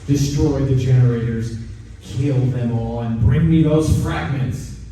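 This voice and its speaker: A man's voice: mid-range voice, a little slow, mellow, lightly acted. A man playing a ruthless, overpowering alien warlord king in a live radio play, barking commands with menace. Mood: menacing, commanding. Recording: echoey noisy convention hall, distant mic.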